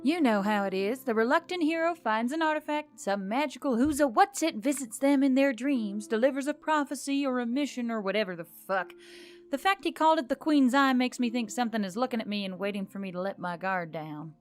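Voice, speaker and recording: A woman's voice: a little high, expressive, a little fast, lightly acted. A woman voicing a reluctant, exasperated young heroine in a fantasy story, wry, casual and sweary. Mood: weary, reluctant. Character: Emily, reluctant, exasperated, sarcastic human heroine. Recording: background music under the voice.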